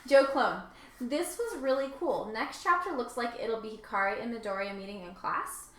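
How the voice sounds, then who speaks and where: A woman's voice: fairly high pitch, animated, normal speed, a bit bright. A woman chatting casually and reading aloud as a co-host, informal and conversational. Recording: echoey room with faint noise.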